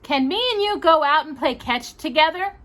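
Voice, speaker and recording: A woman voicing an eager, excitable little boy in a storybook, with squeals and drawn-out exclamations. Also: high-pitched, pitched above the performer's own voice.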